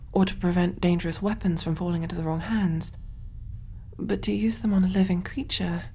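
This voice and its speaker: Soft, mellow alien surgeon played by a woman. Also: on the low side, slight background noise, natural voice. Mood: concerned, puzzled. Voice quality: soft, breathy, often whispery. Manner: gentle, reassuring, musing aloud while working. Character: kind, motherly female alien surgeon rescuing a human. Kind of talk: soft-spoken ASMR roleplay.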